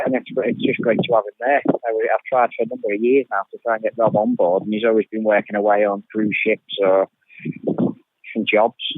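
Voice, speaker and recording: A man's voice: on the low side, no room sound. A man being interviewed on radio as a show producer, talking informally and often repeating words as he restarts. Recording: thin phone-like radio interview sound.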